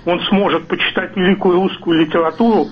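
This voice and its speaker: A man's voice: high voice, steady tone, fast. A male caller on a radio show, courtly and ironic, rambling with hesitations. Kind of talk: phone-in comment. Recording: muffled husky phone line.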